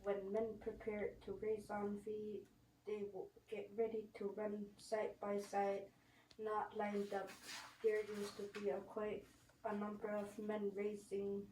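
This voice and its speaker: A woman slowly reads a life story with little change in pitch. She is a bit away from the microphone, with room echo around her. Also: completely flat delivery, mellow. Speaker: reader at a school story sharing. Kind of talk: reading an elder's life story aloud. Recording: echoey room, distant mic, faint noise.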